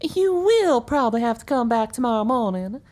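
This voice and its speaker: A woman playing a laid-back, wryly amused unicorn mare sheriff in an audio drama, speaking in an unhurried way. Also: a little high, big pitch swings, darkish tone. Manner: unhurried, wry.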